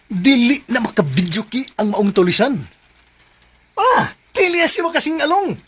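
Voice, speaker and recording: A high-voiced, theatrical boss with a Cebuano accent. The delivery swings widely, mocking and repetitive, over faint hiss. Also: female voice, sing-song, moderate pace, a bit bright. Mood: mocking, bossy. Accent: Cebuano Filipino. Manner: theatrical, repetitive filler words. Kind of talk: Filipino radio drama acting.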